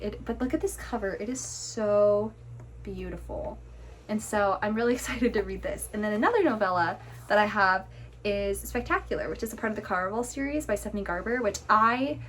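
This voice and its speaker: A woman book vlogger with a hoarse, fading voice, chatting casually and rambling with lots of fillers and restarts. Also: on the high side, slight background noise. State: hoarse, losing her voice. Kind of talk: casual chat.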